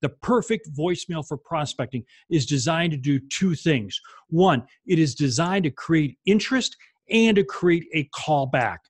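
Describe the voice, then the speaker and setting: A man's voice: a little high, no room sound. A man in his fifties giving an instructional talk as a sales coach, emphatic, in short punchy statements, close to a desk microphone. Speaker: sales coach and video host. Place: small home office, indoors.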